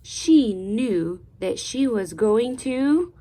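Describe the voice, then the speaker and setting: A woman reading a children's storybook aloud in a deliberate, expressive, teacherly way, pausing to put questions to the listener. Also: fairly high pitch. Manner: deliberate, expressive teacher-like delivery with pauses and prompts.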